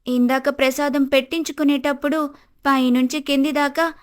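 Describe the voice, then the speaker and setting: Woman playing an anxious, high-voiced young daughter-in-law. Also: little pitch movement, normal speed, lightly acted. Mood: worried. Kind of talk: Telugu moral-story character acting.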